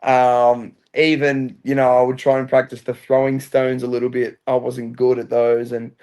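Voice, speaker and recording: A young man with a loud, mid-pitched voice talks as a guest on a podcast. He is upbeat and chatty and often starts with "I feel like.". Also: little pitch movement, moderate pace, clean tone. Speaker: reality show contestant, guest. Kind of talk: casual podcast interview.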